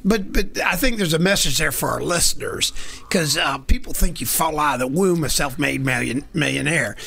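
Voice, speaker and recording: A man's voice: on the high side, normal speed, gravelly, sharp tone, a little hiss or hum. A man hosting a podcast, chatting in an upbeat, enthusiastic way with his guest. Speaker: host. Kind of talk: casual podcast interview.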